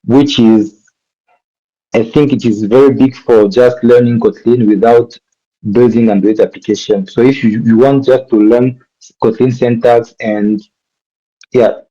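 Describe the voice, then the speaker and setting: A man's voice: mid-range voice, fairly even intonation, a little slow, dark tone, highs cut off. A man from DR Congo with a French accent, teaching a live coding workshop and often stopping to correct himself mid-sentence. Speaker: workshop instructor.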